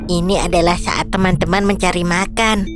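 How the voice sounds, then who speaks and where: A woman's voice: on the low side, level tone, normal speed, close to an everyday voice. A woman voicing a young female jellyfish in a children's cartoon, vain and easily panicked, with whiny exclamations. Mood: worried, sulky. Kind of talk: animated children's story voice acting. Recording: music behind the voice. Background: loud background music.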